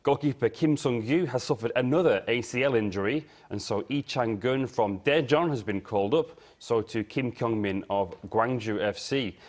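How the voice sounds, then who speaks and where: A man working as a sports editor, delivering a broadcast sports report in a studio. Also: mid-range voice. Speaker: sports editor presenting sports news. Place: TV news studio.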